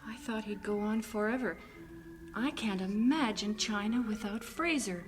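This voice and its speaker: A woman acting as a missionary wife in a vintage radio play. The voice is of average pitch for a female, with an affectionate but strong-willed character and expressive old-time dramatic delivery. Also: normal speed, soft background music, close to an everyday voice. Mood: shocked, fearful.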